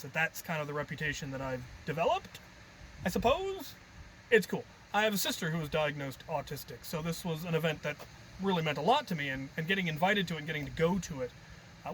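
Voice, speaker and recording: A man's voice: a little high, bright, forceful tone, slight background noise, low-quality recording. A man telling stories in a casual, rambling vlog style, thinking aloud with a jokey, self-deprecating manner. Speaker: hobby video creator and host.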